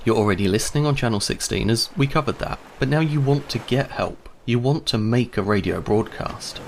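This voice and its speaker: A man's voice: medium pitch, gravelly. A man giving a scripted instructional narration, explaining step by step in a conversational way.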